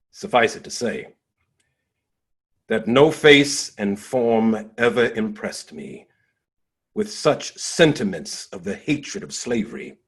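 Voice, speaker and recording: Husky, stately abolitionist orator, acted by a man. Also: fairly low pitch. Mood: reverent. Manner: stately, declamatory, deliberate dramatic pauses, swelling then hushed phrases. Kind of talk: dramatic monologue, theatrical oratory.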